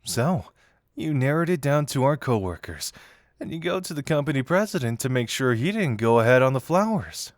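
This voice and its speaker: Man acting a playful detective, very lively pitch. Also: normal speed, no echo, studio quality, lightly acted. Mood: sly, musing. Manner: teasing, amused, conversational. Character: playful, teasing young male detective, amused confidant. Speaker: voice actor in an audio roleplay. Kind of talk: intimate scripted audio roleplay addressed to the listener.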